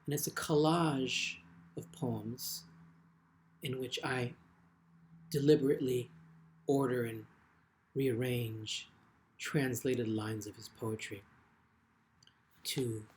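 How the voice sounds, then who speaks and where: Slow, deliberate man reading poetry. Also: mid-range voice, fairly even intonation, a little hiss or hum. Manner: deliberate, measured phrasing with frequent pauses. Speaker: poet reading own work. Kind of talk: literary poetry reading with brief spoken introductions.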